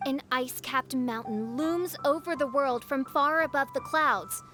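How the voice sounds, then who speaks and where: A woman's voice: high-pitched, a little slow, bright, forceful tone, soft background music. A woman voicing a theatrical young noblewoman in a video game, pompous, florid and archaic in manner. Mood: ominous.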